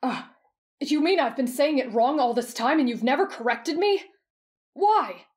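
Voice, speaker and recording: A woman voicing an earnest, polite, easily flustered young man with a measured, formal manner in a narrated story. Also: fairly low pitch, darkish tone, natural voice.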